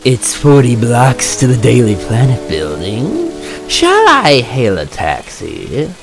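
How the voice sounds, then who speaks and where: A man voicing a mysterious, suave suitor with a halting, courtly, coy manner in a comic-book dub. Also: medium pitch, huge pitch swings, exaggerated voice. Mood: courteous. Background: music.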